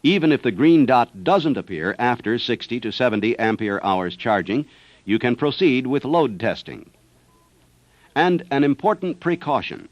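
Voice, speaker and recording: A man's voice: medium pitch, very animated, moderate pace, dry recording. An American man reads a scripted instructional narration for a service training film in a methodical manner. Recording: dull, dry 1970s training film soundtrack.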